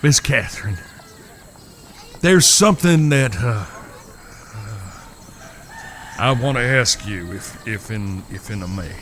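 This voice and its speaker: A man playing an earnest, courteous cowboy suitor in a western audio drama, with halting, old-fashioned, courtly phrasing. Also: lowish voice, animated, fairly bright tone, slight background noise. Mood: nervous, earnest.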